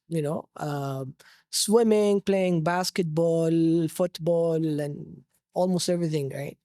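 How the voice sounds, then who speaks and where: A man with a Saudi Arabic accent, telling his personal story conversationally as an interview guest, pausing to search for words. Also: on the high side, a little mellow, no room sound, studio quality. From Jeddah, Saudi Arabia.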